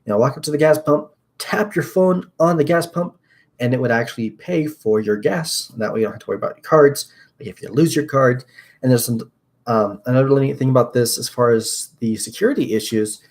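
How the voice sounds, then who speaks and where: A man's voice: mid-range voice, a little fast. A young man hosting a casual tech news livestream from a small room at his desk. He rambles upbeat with lots of fillers. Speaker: host.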